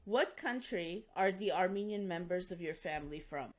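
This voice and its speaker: A woman hosts an interview with a formal, measured delivery. She sits at a middling pitch and enunciates carefully, as if reading from prepared notes. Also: expressive. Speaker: interview host. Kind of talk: formal scripted introduction and interview.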